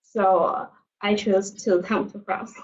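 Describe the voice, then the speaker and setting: Student from China, female, halting delivery, recorded from a distance, lo-fi. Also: medium pitch, steady tone. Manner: hesitant, many fillers, laughs easily. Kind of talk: webinar testimony. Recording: distant mic, dull lo-fi sound.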